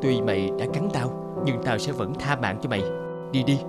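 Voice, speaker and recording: An actor voicing a frightened, weary fugitive in an animated fairy tale, talking to himself dramatically. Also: male voice, medium pitch, moderate pace, natural voice. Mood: weary, resigned. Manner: dramatic, expressive self-talk. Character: frightened, weary male fugitive hiding in a cave. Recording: loud music under the voice.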